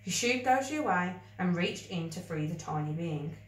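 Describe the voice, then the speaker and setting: A woman reading a children's story aloud in an expressive, scripted storybook style. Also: lowish voice, normal speed. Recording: echoey room, distant mic.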